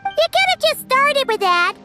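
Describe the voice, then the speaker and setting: A woman voicing a tiny childlike companion creature in a video game, blunt, cheeky and excitable. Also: very high-pitched, normal speed, loud music behind the voice. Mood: cheeky, unimpressed. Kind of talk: scripted video game dialogue.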